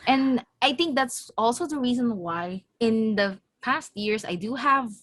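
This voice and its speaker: A woman's voice: on the high side, lively intonation, measured pace, a bit bright, no room sound. A young woman with a Filipino accent co-hosting a casual podcast chat, talking frankly, laughing often and restarting mid-sentence. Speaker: podcast co-host.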